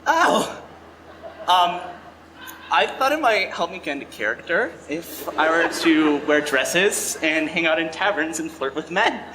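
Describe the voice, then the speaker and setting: A coy flamboyant actor. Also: male voice, a little high, big pitch swings, a little slow, background noise.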